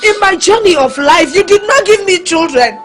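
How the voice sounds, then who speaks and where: A crying Nigerian mother, voiced by a woman, wailing and pleading with a very high, strained voice. Her sobs break through every line, and soft music plays behind her. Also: animated, normal speed. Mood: sobbing. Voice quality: wailing, sobbing. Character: elderly Igbo mother wailing for her lost daughter. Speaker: grieving mother. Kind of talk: Nollywood drama acting.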